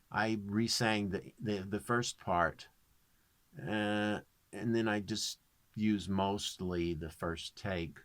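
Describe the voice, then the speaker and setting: Low-voiced man, casual and rambling. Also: a bit bright, no echo. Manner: rambling, digressive, with dry joking asides. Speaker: amateur singer and home-recording video creator. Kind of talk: casual explanatory vlog, screen walkthrough.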